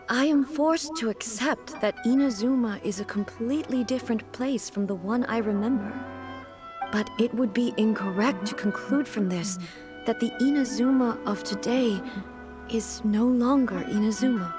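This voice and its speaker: A woman voicing a dignified immortal ruler in a video game, speaking in a measured, formal, thoughtful way. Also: fairly high pitch, music in the background, natural voice. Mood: solemn, reflective.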